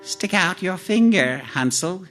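A wicked old granny witch played by a man for a storybook tale. The voice is very high and swoops about. It draws words out in falsely sweet cooing and hungry gloating, over music. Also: lively intonation, measured pace, fairly bright tone. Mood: impatient.

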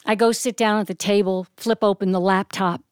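A woman telling a story in a polished, expressive way, with deliberate descriptive phrasing and dramatic pauses. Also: medium pitch, clean tone, no room sound, studio quality. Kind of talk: polished live storytelling to an audience.

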